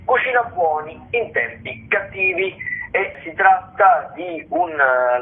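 Heard down a tinny phone line, a man reviews listings informally for radio. His voice is fairly high, and he talks in a halting, wandering way with repeats. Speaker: theatre critic, radio contributor.

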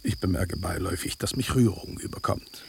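An actor playing a cocky, territorial tomcat with a sentimental streak, blunt and bossy, in radio drama style. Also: male voice, deep voice, a little fast, gravelly, a little hiss or hum. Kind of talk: German radio drama acting.

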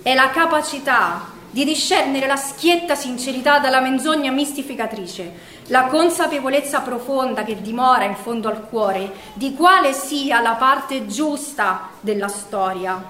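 A high-voiced female prisoner speaks her thoughts in a theatrical monologue. She is reflective and weary yet quietly defiant, choosing each phrase with literary care. The sound rings with the echo of the room. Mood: resolute. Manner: measured, literary, introspective delivery.

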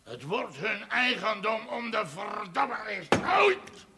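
An actor playing a furious, aggrieved village landowner with a Dutch accent, ranting in a gravelly, strained voice in a television drama. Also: male voice, high-pitched, sing-song, slow pace, background noise, full character voice. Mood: indignant. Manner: ranting, shouting, emphatic, coarse-mouthed. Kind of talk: television period drama acting.

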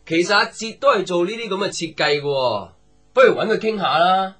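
An actor playing an upbeat, approving, informal young boss in a Cantonese radio drama. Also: male voice, high voice, expressive, a little fast, fairly bright tone, slightly rough, exaggerated voice.

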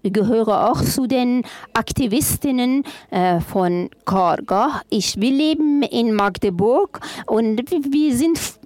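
A woman from Afghanistan addresses a panel audience in a slow, deliberate way, pressing her points with feeling. Her voice sits fairly high, and she keeps stopping and restarting mid-phrase. The sound is close and dry, with no room around it. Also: no room sound. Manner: impassioned, halting with many restarts. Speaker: panel speaker. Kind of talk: public panel statement.

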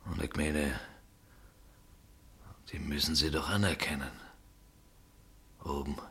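Very deep, raspy duty officer. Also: male voice, steady tone, slow, pushed and bright, full character voice. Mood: self-justifying. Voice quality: rough, husky. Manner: colloquial, grumbling. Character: gruff, self-justifying officer on night duty. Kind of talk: German radio play acting.

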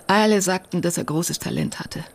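An actress playing a subdued, wistful sister in a radio drama, husky, hesitant and deliberate. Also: female voice, on the low side, expressive. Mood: wistful, guarded.

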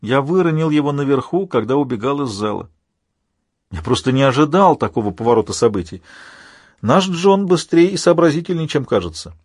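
A man voicing an insolent, taunting villain in a high, drawn-out voice for an audiobook. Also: lively intonation, a little mellow, no room sound, studio quality, lightly acted. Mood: sly. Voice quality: high-pitched voice. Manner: mocking, drawn-out delivery. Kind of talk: dramatic audiobook character voice.

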